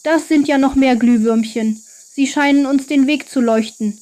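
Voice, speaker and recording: A woman's voice: high-pitched, normal speed, darkish tone, natural voice. A woman voicing a friendly, curious and reassuring young boy in a children's bedtime story.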